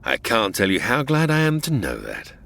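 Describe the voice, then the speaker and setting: Man doing a gravelly sneering space villain, bright edge, wild swoops, audio drama. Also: medium pitch, wildly swooping pitch, normal speed, heavily acted. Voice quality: gravelly, mocking, suave. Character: smooth scheming male space villain. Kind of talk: comedy audio drama acting.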